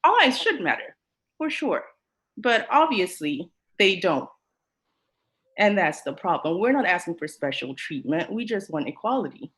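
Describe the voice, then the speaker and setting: A woman's voice: mid-range voice, sing-song, moderate pace, fairly bright tone. A woman from Kenya, speaking emotionally and emphatically in a podcast discussion. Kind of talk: earnest discussion.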